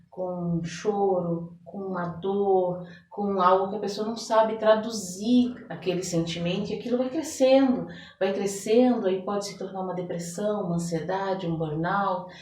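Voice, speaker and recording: A woman's voice: mid-range voice, fairly even intonation, moderate pace, a little mellow. Brazilian woman explaining, echoey and distant. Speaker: coach and consultant, interview guest. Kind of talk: conversational interview, explaining. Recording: roomy echo, distant from the mic.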